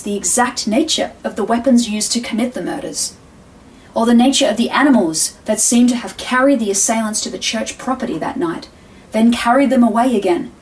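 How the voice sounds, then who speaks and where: An actress playing a composed, earnest defense attorney, giving a measured, solemn opening statement to a jury in a formal audio drama. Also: female voice, fairly high pitch, a little mellow, natural voice. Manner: measured, solemn, rhetorical repetition. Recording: distant microphone.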